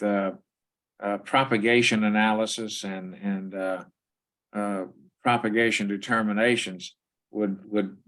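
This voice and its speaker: A man's voice: lowish voice, fairly bright tone. A man in an informal meeting discussion, speaking hesitantly with many fillers and restarts. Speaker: teleconference participant.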